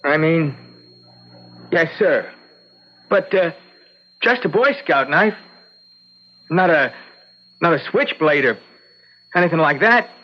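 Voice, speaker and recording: A man acting a defensive young gang member on the stand, halting and evasive, in an old-time radio drama. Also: fairly high pitch, expressive. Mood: nervous, defensive. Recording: dull lo-fi vintage radio recording.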